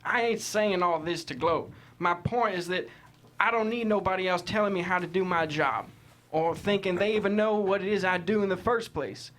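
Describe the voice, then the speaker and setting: An actor playing a proud, defiant Texas cattle rancher with blunt, folksy, cussing talk in a script table read. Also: male voice, sits high, moderate pace, fairly bright tone. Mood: boastful, defiant.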